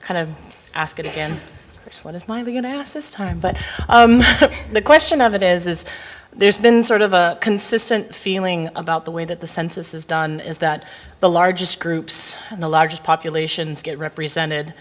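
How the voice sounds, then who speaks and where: A woman's voice: on the low side, moderate pace. A woman whose first language is Hawaiian, a committee member pressing an urgent, earnest question at a meeting in long winding sentences. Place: meeting room.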